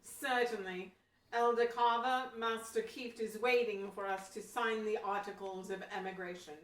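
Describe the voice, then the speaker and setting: A woman playing a calm, authoritative Pilgrim pastor, a man, in an amateur audio drama. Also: medium pitch, moderate pace, distant mic, close to an everyday voice. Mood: measured, deliberate. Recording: echoey room, off mic.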